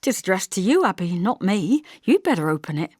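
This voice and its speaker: A woman's voice: mid-range voice, sing-song, brisk, a little mellow, husky, dry recording, clean studio sound. A woman voicing an anxious, middle-aged country mother in an audiobook, pleading and eager in her questions. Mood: curious.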